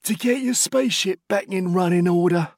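A man's voice: high-pitched, normal speed, darkish tone, no echo, clean studio sound, voice pushed up. A man voicing a friendly, chatty male spaceship captain who talks in a matey, apologetic way.

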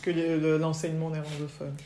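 A woman's voice: low voice, monotone, fast talker, dark tone, echoey room, a little hiss or hum. A woman hosting a podcast, chiming in with short eager reactions. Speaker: podcast host. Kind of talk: casual interview.

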